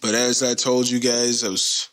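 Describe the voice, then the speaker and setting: A man's voice: medium pitch, flat delivery, normal speed, fairly bright tone. A man in his thirties hosting a solo podcast, talking in a loose, rambling, slangy and joking way. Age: 30s. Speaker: podcast host. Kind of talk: casual solo podcast chat.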